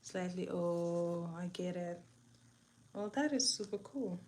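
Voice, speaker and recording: A woman's voice: fairly low pitch, takes it slowly, a little mellow, a little hiss or hum. A young woman with a Nigerian accent and Igbo as her first language, chatting casually through a makeup tutorial and sometimes dropping to a whisper. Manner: chatty, drops to a whisper while applying. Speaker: makeup vlogger.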